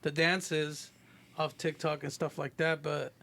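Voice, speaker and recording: A man's voice: fairly high pitch, flat delivery, slow pace, gravelly, a bit bright. A young man speaking casually and with slang as a regular on a group podcast.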